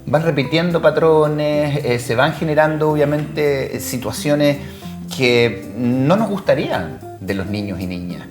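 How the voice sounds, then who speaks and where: A man's voice: fairly high pitch, expressive, measured pace. A man with a Chilean accent co-hosting a television interview, commenting and asking questions conversationally. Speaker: TV show co-host. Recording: roomy echo, music clearly behind. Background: background music.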